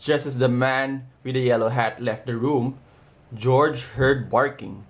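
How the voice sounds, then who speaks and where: A man reading a children's storybook aloud in a deliberate way, pausing between short, simple phrases. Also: medium pitch, fairly even intonation. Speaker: storybook reader. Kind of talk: read-aloud of a children's picture book.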